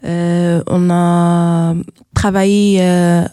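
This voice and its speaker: A woman in her twenties from Algeria with an Algerian accent, speaking French in a hesitant, halting way. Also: fairly low pitch, flat delivery, dark tone, clean tone, dry recording, studio quality. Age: 20s. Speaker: workshop participant.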